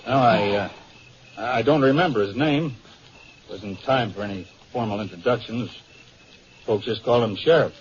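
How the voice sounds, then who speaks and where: Man playing a low, curt young outlaw. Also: moderate pace, pauses often, natural voice. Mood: guarded, irritated. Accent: American. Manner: guarded, curt. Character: touchy, cold-blooded young male outlaw, the fussy kid brother. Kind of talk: 1950s radio western acting. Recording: dull, hissy vintage radio recording.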